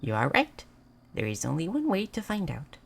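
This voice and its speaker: A woman playing a gentle, naive moth person in a roleplay audio, speaking in halting, simple, formal phrases. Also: deep voice, huge pitch swings, gravelly, breathy edge, heavily acted. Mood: soft wonder. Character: gentle, naive, curious moth person learning human things. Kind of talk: scripted roleplay audio addressed to the listener.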